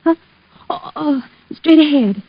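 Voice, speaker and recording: A woman's voice: high voice, animated, slow pace, full character voice. A woman playing a polite, hesitant, easily flustered young receptionist in an old-time radio drama. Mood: flustered, coy. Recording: muffled vintage radio recording.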